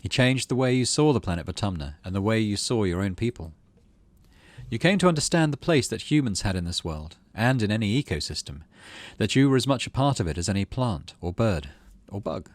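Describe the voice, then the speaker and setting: A man reading story text from a video game aloud as an expressive narrator, with dramatic storybook delivery and casual asides. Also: on the low side, a little fast, no room sound.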